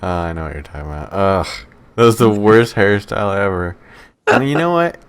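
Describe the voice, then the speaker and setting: A man's voice: on the low side, animated, a little fast, clean tone. A man co-hosting a podcast, chatting casually about films and swearing freely as he gives his opinions. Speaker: podcast co-host. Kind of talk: casual, profane banter about movies.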